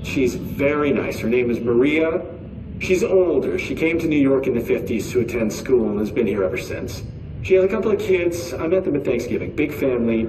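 A nervous, earnest security officer in a video game, chattering over an intercom. His pitch moves in a very lively way as he rambles and corrects himself. The sound is echoey, with clear noise behind it. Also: fairly quick, recorded from a distance. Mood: rambling, chatty. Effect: intercom speaker.

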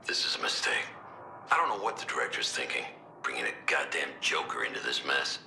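Tough military officer, extremely low gravelly growl, hard-edged, scornful, menacing, game dialogue, roomy with slight noise. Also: male voice, big pitch swings, brisk, bright, forceful tone, exaggerated voice. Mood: angry. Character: gruff, tough, hostile male military officer. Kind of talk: dramatic video game voice acting.

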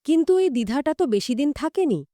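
A woman with a slightly high, very expressive voice speaks in a dry recording. She co-hosts in a scripted conversational style, retelling with drama and posing curious questions. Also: brisk, dry recording. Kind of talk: scripted-sounding conversational storytelling.

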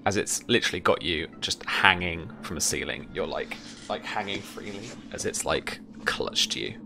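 Deep, gravelly young man as game master. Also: moderate pace, noisy background. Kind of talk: tabletop roleplay narration and casual rules talk. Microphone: headset or desk mic, seated indoors on a video call.

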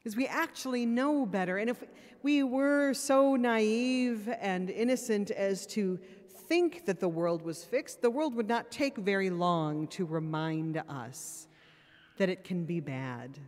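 A woman's voice: lowish voice, darkish tone. A woman priest preaching from a pulpit microphone in a church, earnest and plain-spoken with wry asides, and sounding a little tired. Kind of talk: sermon. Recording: long church reverb.

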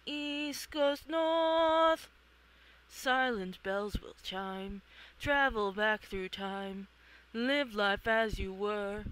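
A performer voicing a solemn, mystical dream spirit, chanting prophecy in drawn-out incantation. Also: medium pitch, a bit bright, clear, resonant tone, poor audio. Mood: ominous.

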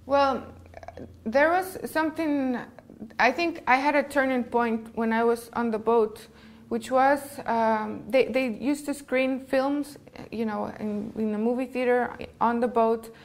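Creaky, higher-pitched Costa Rican woman. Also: fairly even intonation, a little slow. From Costa Rica. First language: Spanish. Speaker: filmmaker being interviewed. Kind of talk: conversational personal account.

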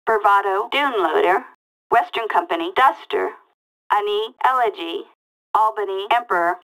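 A woman's voice: mid-range voice, normal speed, fairly bright tone, rough recording. An actress playing a female police dispatcher in a video game, reading out short callouts in a clipped, detached way through a police radio filter. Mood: flat, procedural. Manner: clipped, detached, word-by-word delivery. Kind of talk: reading out vehicle makes and models as scanner callouts.

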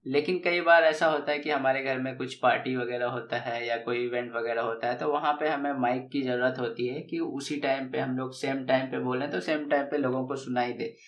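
A man's voice: medium pitch, steady tone, brisk, few pauses, fairly bright tone. Young male tech host, lapel mic, patient step-by-step explainer, a bit roomy. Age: young adult. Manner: explains step by step, repeating key phrases. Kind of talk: casual tech tutorial. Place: small dim room indoors, seated at a desk. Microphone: lavalier clip mic.

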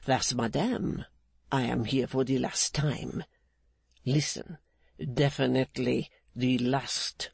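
A man voicing a menacing, swaggering male blackmailer who talks in a slow, mocking, insolent way. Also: mid-range voice, steady tone, creaky, slightly rough, no room sound, exaggerated voice. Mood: sneering.

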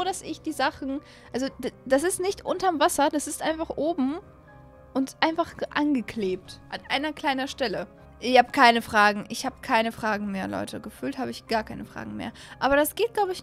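High-voiced young woman chatting over a game. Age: 20s. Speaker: host of a gaming channel. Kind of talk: casual let's-play commentary. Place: small indoor streaming room at home. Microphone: close studio microphone on an arm.

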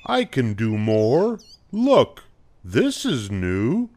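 An older man voicing an eager, showy spotted animal in a picture book, with slow, emphatic, singsong delivery. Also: mid-range voice, huge pitch swings, darkish tone, exaggerated voice. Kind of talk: picture book read-aloud.

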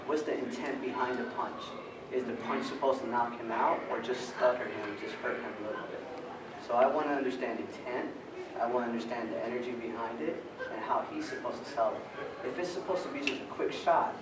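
A man's voice: mid-range voice, normal speed. A man teaching a stage-fight workshop, demonstrating punches and elbows as he explains. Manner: energetic, demonstrating moves while talking. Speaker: stunt fight instructor. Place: large carpeted event room indoors. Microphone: far camera. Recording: echoey room from far off, loud background noise.

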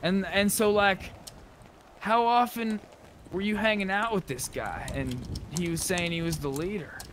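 A man's voice: high voice, unhurried, noisy background. A man chatting in an improvised, conversational way as he role-plays in an online game. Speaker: role-play gamer. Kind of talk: improvised in-character chat while gaming.

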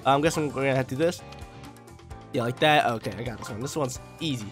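A young man with a slightly hoarse voice, a gaming YouTuber giving hyped, slangy let's-play commentary into a headset mic, with faint game music behind. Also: medium pitch, fast talker. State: slightly hoarse from a sore throat.

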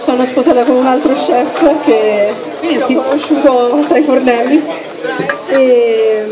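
A woman's voice: sits high, measured pace, rough recording. A woman chef from Rome in her thirties, explaining each step of a dessert while cooking at a live demonstration on a handheld mic. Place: restaurant dining room with audience. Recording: chattering crowd behind.

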